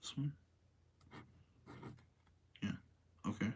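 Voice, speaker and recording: A man hosting a livestream, talking casually in an informal, rambling, slangy way with a husky voice. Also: drawn-out words, slight background noise. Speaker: livestream host. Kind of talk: casual livestream chat and commentary.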